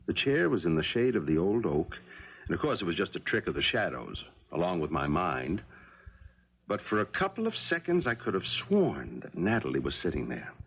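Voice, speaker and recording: A haunted but affable middle-aged father in a muffled 1970s radio play. His husky voice is low and very animated in pitch, with a musing, genial manner. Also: moderate pace, exaggerated voice. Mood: pensive, spooked. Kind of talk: 1970s radio drama acting. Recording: muffled vintage radio broadcast recording.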